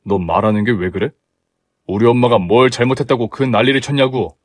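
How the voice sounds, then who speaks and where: A man's voice: mid-range voice, brisk, no room sound, natural voice. A man voicing a young husband who complains to his wife and coaxes her to visit his mother. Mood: annoyed.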